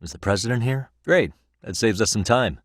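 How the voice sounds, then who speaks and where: Guy voicing a deep voiced video game detective dude, easygoing but principled, sure of himself, super dry studio sound. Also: brisk, dry recording, lightly acted. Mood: friendly, casual. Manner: casual, self-assured, earnest. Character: Takayuki Yagami, cool, principled, easygoing male investigator. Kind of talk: English video game voice acting.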